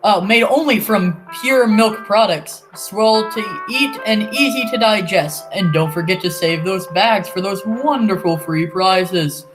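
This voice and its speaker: A woman's voice: mid-range voice, unhurried, few pauses, music in the background, close to an everyday voice, rough recording. A young woman playing a cheery old-time radio announcer selling treats to children. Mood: upbeat selling. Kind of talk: 1940s radio ad acting.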